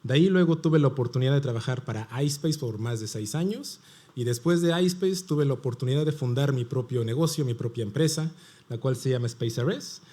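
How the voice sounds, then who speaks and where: A man from Mexico with a Mexican Spanish accent gives a talk at a conference panel. His voice is warm and mellow, with an ordinary pitch for a man. He explains things step by step, often asking a question and then answering it himself. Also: a little fast. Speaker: panel speaker. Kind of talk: conference presentation.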